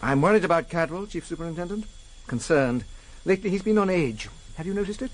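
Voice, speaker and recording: Fussy, coolly menacing intelligence chief, lively intonation. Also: male voice, high voice, quick pace. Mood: grave, insinuating. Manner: fussy, precise, insinuating. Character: pedantic, coolly menacing male senior intelligence official. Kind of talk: radio drama acting.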